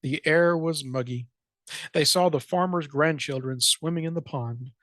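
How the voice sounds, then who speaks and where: A storyteller reading a children's picture book aloud in an expressive read-aloud style. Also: medium pitch, moderate pace, no echo, clean studio sound. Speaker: librarian, storytime reader.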